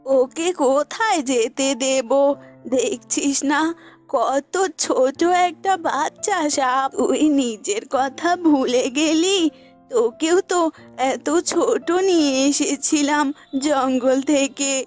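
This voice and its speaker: Slow, high-voiced kindly old granny. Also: female voice. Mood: gently insistent. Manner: tender, unhurried. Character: kind, doting old village woman who keeps animals. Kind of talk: cartoon voice acting in a children's fable. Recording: music bed behind the voice.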